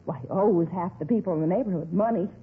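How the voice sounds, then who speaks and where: An actress playing a gossipy, nosy apartment manager in a 1950s radio drama, chatty and rambling with folksy grammar. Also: female voice, lowish voice, fast, breathy edge. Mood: gossipy, disapproving. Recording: scratchy, tinny 1950s radio recording.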